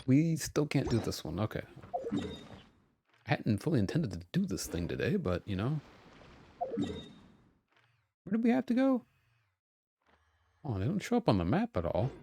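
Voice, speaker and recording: A man's voice: mid-range voice, wildly swooping pitch, a little slow, gravelly, long pauses, noisy background. A man chatting casually as a game streamer, talking to himself and to his viewers.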